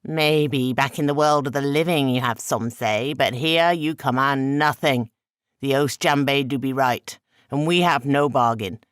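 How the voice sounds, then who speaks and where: A man's voice: mid-range voice, a little slow, clear, resonant tone, no room sound, studio quality, lightly acted. Eshu, a man doing a sneering trickster spirit. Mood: taunting. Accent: halting, overpronounced. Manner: loud, taunting, playful. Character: mischievous male trickster spirit, sneering, boastful.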